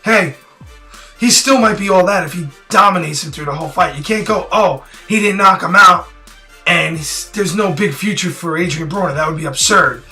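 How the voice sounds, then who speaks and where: A man with a raspy, hoarse voice, worn out from yelling, talking straight to camera in a blunt, rambling and opinionated way. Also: sits high, normal speed, faint music behind. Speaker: boxing prediction vlogger. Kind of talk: casual direct-to-camera talk.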